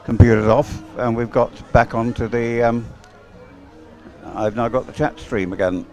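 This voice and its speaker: A man chatting casually as co-commentator on a live sports broadcast, rambling and halting as he goes. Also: mid-range voice, fairly even intonation, a little mellow, soft background music.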